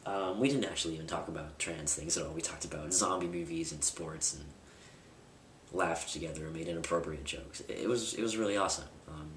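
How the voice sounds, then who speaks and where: Young man, very low voice, candid rambling vlogger, roomy distant home-camera sound with noise. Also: brisk, fairly bright tone. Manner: candid, rambling with fillers and restarts. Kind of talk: casual personal vlog. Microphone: camera mic at a distance.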